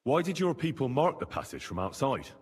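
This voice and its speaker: An actor playing an earnest young commoner adventurer in a video game, plain-spoken, polite and friendly. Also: male voice, mid-range voice, very animated, a little fast, slightly rough. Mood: friendly inquiring. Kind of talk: video game dialogue acting. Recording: long reverb, faint game music behind.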